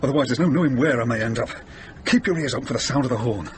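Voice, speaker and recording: An actor playing a worldly, wisecracking middle-aged van driver in a radio drama, blokey and matter-of-fact. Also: male voice, mid-range voice, racing, close to an everyday voice. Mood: decisive, wry. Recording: noisy radio drama with background ambience.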